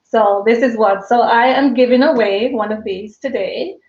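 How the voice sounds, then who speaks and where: A woman's voice: a little high, normal speed, roomy sound, lo-fi sound, crowd noise. A woman in her fifties with a Jamaican accent blended with British and American sounds, chatting casually and laughing often as a guest on a live stream. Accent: Jamaican, mixed with British and American. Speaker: guest, small business owner.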